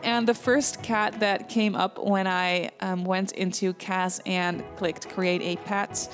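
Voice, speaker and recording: A young woman with a Dutch accent, chatting casually over a game build, with frequent ums and soft laughs. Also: medium pitch, flat delivery, slow, soft background music. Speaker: Sims builder and YouTuber.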